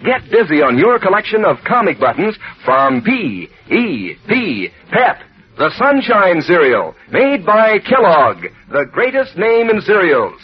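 A peppy man announcing ads on an old radio show, his husky voice bouncing up and down. The recording is dull and lo-fi. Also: sits high, very animated, measured pace. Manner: peppy, salesman-like. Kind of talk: 1940s radio ad reading.

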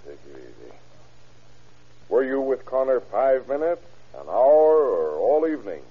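Gruff-voiced, exasperated police captain, old radio. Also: male voice, mid-range voice, very animated, unhurried, full character voice. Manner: firm, insistent interrogating. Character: exasperated, authoritative police captain questioning witnesses. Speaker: actor in a radio crime drama. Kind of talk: classic radio drama acting. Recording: dull, lo-fi old radio recording.

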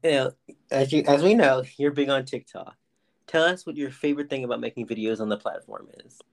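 A woman hosting a casual interview who moves briskly from one question to the next. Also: extremely low pitch, creaky, darkish tone. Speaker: host.

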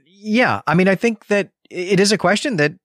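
A man's voice: sits high, animated, normal speed, fairly bright tone, dry recording, clean studio sound. A man co-hosting a podcast, discussing literature conversationally and reflectively, thinking through questions aloud. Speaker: podcast co-host.

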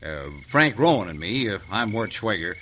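A man playing a greedy, murderous Old West outlaw in radio drama, with a Western drawl and folksy, rough talk. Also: on the low side, big pitch swings, moderate pace, a bit bright. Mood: wary, greedy. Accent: American Western drawl. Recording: vintage radio recording, faint noise.

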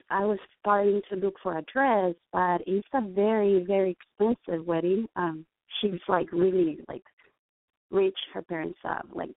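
A woman's voice: fairly low pitch, vocal fry. Brief: woman in her 30s, Mexican accent, hesitant caller, tinny phone line. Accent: Mexican Spanish. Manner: hesitant, full of likes and ums. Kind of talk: phoning in for advice.

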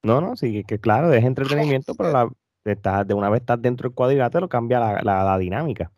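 A man, a podcast co-host who asks relaxed questions and backs the talk with short replies. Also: medium pitch, fast talker, no room sound. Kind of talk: casual interview. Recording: dull, dry lo-fi mic.

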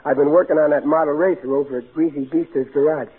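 A very raspy, fairly high-voiced working-class father from an old radio comedy. He is good-natured and blundering, stammering through folksy, ungrammatical talk. The recording is tinny and narrow. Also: steady tone, fast talker. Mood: proud, sheepish. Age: middle-aged. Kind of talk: 1940s radio comedy acting.

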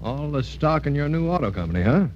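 Dull vintage radio sound, skeptical racing driver, animated intonation, guarded manner, 1940s acting. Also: male voice, a little high, quick pace, slight background noise. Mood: wary. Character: doubtful, cautious male racing driver. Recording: dull old radio recording.

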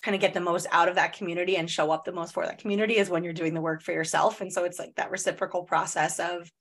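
A woman in her thirties talks through a casual podcast chat in a slightly low voice. She leans on a lot of "like" fillers and comes out in quick bursts. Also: steady tone, a bit bright. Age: 30s. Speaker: podcast host.

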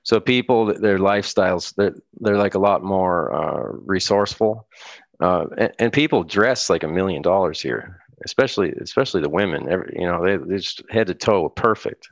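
A man's voice: deep voice, brisk, gravelly, no room sound, muffled recording. An American man, middle-aged, chatting casually as an interview guest, rambling with frequent restarts and laughs. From United States. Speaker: guest musician.

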